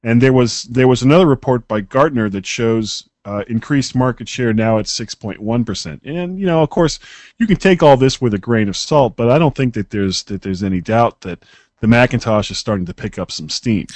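A man's voice: medium pitch, moderate pace, a little mellow, no room sound. Easygoing man on a podcast. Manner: easygoing, joking, hesitant with restarts. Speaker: podcast co-host. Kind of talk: casual tech podcast chat.

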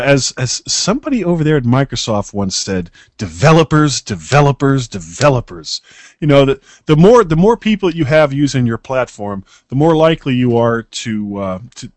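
A man's voice: mid-range voice, dry recording. A podcast co-host talks in an easygoing, joking way, often hesitating and restarting his sentences. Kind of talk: casual tech podcast chat.

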